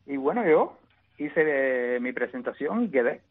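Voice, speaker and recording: Cuban man, exiled poet guest, halting delivery full of restarts, tinny phone-line sound. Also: mid-range voice, lively intonation. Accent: Cuban Spanish. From Cuba. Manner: halting, many restarts and repeated words. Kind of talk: interview answers.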